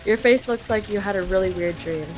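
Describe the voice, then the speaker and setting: A woman's voice: mid-range voice, brisk, music in the background. A young woman from Canada, talking casually and swearing freely as she translates game text aloud for a friend over a call. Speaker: live translator for a friend's playthrough.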